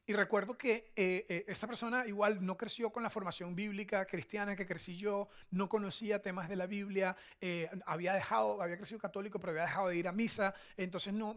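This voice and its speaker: A man's voice: high voice, fairly bright tone. A man with a Venezuelan accent giving a conversational teaching sermon as a pastor, often checking in with short tag questions. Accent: Venezuelan Spanish. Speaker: church pastor, preacher.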